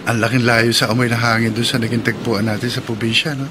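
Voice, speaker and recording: An actor playing a gentle, eager young suitor in a radio drama. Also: male voice, mid-range voice, level tone, fast, background noise, natural voice. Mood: easygoing, attentive. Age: young adult. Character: eager young suitor, polite call center worker. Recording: radio drama with clear background ambience.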